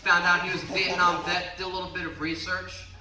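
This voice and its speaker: A man in his twenties from the Baltimore area, doing a brash, punchy stand-up set on a comedy club stage and sometimes shouting. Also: sits high, level tone, a little fast. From Baltimore area, Maryland. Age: 20s. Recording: roomy, distant, noisy club recording.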